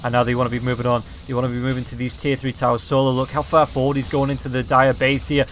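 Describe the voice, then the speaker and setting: A slightly hoarse man calling a match in quick, excited play-by-play commentary. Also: medium pitch, a little hiss or hum. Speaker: esports caster.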